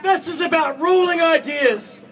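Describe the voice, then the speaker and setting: Young male student activist, emphatic rally oratory, strikingly high pitch, distant outdoor recording. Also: unhurried, crowd noise. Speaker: student movement speaker, postgraduate student. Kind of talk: prepared political rally speech. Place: outdoors at a street march. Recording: distant outdoor capture with faint noise.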